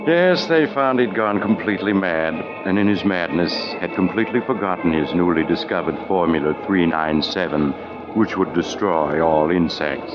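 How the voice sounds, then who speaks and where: A man's voice: sits low, normal speed, lightly acted. A man playing a sly, mysterious traveler who hosts an old-time radio mystery with theatrical mock sympathy. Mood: sly, ominous. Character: sly, macabre mysterious male traveler on a train. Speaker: radio host narrator. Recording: muffled old radio, music behind.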